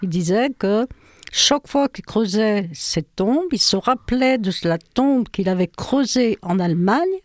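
A woman's voice: medium pitch, expressive, measured pace, no room sound, clean studio sound. An older woman whose first language is Ukrainian, recounting her life as an interview guest, hesitant and full of fillers. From France. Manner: hesitant, many fillers, storytelling. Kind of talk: personal testimony in an interview.

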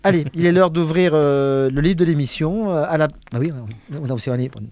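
Radio host, man, somewhat high-pitched, dry studio sound, easygoing. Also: fairly quick, dry recording. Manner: relaxed, chatty, reads introductions. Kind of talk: radio interview hosting.

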